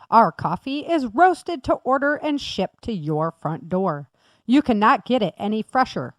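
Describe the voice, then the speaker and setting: A woman's voice: mid-range voice, a bit bright, dry recording, studio quality. A woman reading sponsor announcements in a scripted style. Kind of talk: read advertisement.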